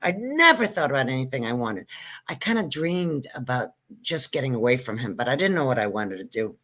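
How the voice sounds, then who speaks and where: A woman's voice: rumbling low, big pitch swings, brisk, fairly bright tone. Older Israeli-born woman, rambling joker. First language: Hebrew. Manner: rambling, self-interrupting, joking storyteller. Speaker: guest comedian. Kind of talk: casual interview chat.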